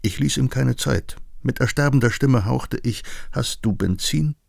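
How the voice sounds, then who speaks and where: An actor playing a tormented addict recounting his craving in literary radio play narration, deliberate and grave. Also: mid-range voice, vocal fry, no room sound, studio quality. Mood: bleak, craving.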